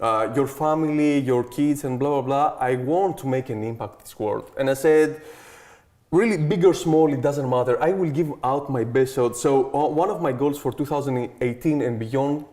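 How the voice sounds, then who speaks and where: A man's voice: medium pitch, moderate pace, warm tone. A man in his thirties with a Greek accent, a guest in an interview, talking eagerly and confidently in a loose, chatty way. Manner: eager, confident, keeps adding "okay" and "like". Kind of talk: casual interview talk.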